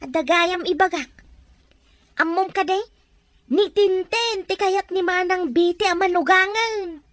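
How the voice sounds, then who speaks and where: A woman playing an excitable, easily offended village gossip with an Ilocano accent in a comedic radio drama. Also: extremely high pitch, unhurried, dry recording.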